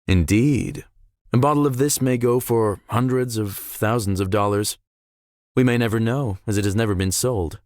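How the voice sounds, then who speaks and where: A man's voice: on the low side, moderate pace, a little mellow, dry recording, clean studio sound, close to an everyday voice. A man voicing a suave, self-assured young billionaire in a visual novel, with formal, polished and theatrical phrasing. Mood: proud, smooth. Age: young adult. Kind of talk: visual novel character acting.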